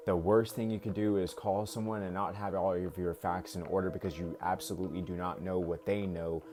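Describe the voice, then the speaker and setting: A man in his thirties vlogging casually, rambling with many fillers and restarts. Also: deep voice. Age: 30s. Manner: rambling, filler-heavy, repeats himself. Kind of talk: casual talk to camera. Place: indoors at home work space. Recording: roomy echo, faint music behind.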